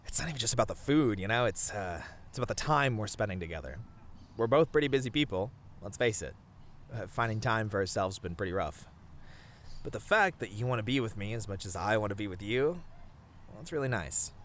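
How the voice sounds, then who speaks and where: A man's voice: lowish voice, lively intonation. Guy playing shy sweet boyfriend in romance asmr, rambly and tender, voice swoops around, noisy ambience behind. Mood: sincere, tender. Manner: tender, flustered, halting, with soft chuckles. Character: sweet, bashful, rambling boyfriend on a picnic date. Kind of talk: romantic ASMR roleplay acting.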